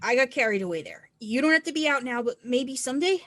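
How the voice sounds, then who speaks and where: A woman plays an encouraging, self-reliant non-binary teen snowboarder. The voice is high and closely recorded with a dry sound, reading dialogue from a film script. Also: expressive, fast talker, fairly bright tone, no echo. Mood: earnest, encouraging. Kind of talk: screenplay table read.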